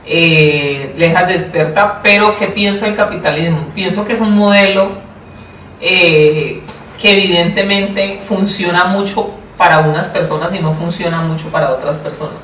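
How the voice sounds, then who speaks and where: A woman with a Colombian accent answering interview questions in a thoughtful, deliberate way, often repeating and rephrasing herself. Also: fairly low pitch, a bit bright. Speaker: interview guest, public education official. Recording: roomy, distant mic, faint noise.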